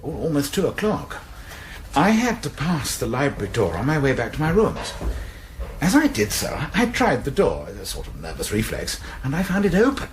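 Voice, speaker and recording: A man's voice: mid-range voice, wildly swooping pitch, normal speed. A donnish college librarian in a radio drama, formal and uneasily apologetic. His mid-range voice swoops a great deal, with faint noise and clicks behind it. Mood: uneasy, apologetic. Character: fussy, donnish male college librarian, uneasily explaining himself.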